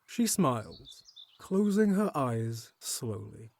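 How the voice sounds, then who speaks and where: A man's voice: medium pitch, very animated, slow, a little mellow. A man reading scripted, descriptive narration for an audio drama.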